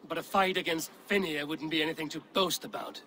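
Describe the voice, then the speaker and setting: An actor playing a bold, blunt Viking warrior who goads and mentors with wry command in a video game cutscene. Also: male voice, a little high, fairly even intonation, fairly quick, sharp tone, slight background noise. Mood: stern, wry.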